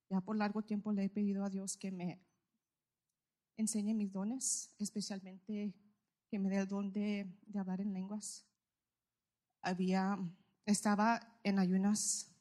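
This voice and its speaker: Halting heartfelt woman giving testimony. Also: medium pitch, mellow. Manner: halting and heartfelt, pauses with "am" fillers. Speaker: church member giving a testimony. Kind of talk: personal testimony in church.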